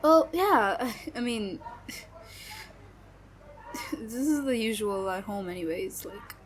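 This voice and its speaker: A young woman voicing a sad best friend from a troubled home who hides her hurt, talking haltingly with nervous little laughs and trailing off. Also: fairly high pitch, slight background noise. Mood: forced cheer. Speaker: voice actor. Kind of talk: audio roleplay.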